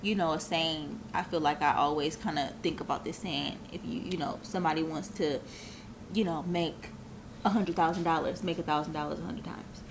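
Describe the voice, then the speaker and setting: A woman's voice: low voice, fairly even intonation, moderate pace, noisy background. A woman in her twenties, a business owner, talking earnestly and reflectively as an interview guest, with many filler phrases. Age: 20s. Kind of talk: casual interview talk.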